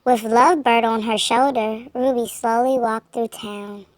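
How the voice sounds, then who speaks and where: A woman reading a children's storybook aloud, with measured, expressive phrasing for young listeners. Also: fairly high pitch. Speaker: children's book reader. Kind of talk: storybook read-aloud narration.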